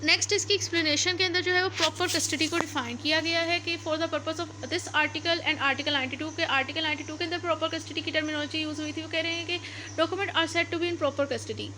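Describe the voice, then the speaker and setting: Pakistani lady teaching law, bright sharp high voice, reads out clauses and explains them again and again. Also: steady tone, fairly quick, a little hiss or hum. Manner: repetitive, code-switching between English and Urdu. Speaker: law lecturer. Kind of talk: explanatory lecture, reading statutes aloud then explaining.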